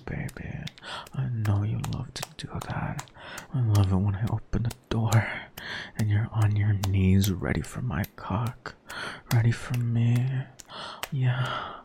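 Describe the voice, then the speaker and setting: A man performing a whispered erotic roleplay monologue in a dominant, husky manner with moans. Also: on the low side, noisy background.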